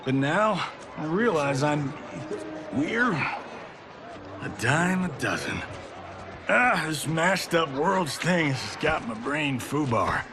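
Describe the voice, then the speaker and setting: Cocky, animated American army wisecracker. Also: male voice, on the high side, slow pace, long pauses. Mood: brash, jaunty. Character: cocky wisecracking World War Two American army officer. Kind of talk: cinematic video game acting. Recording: game cutscene with music behind.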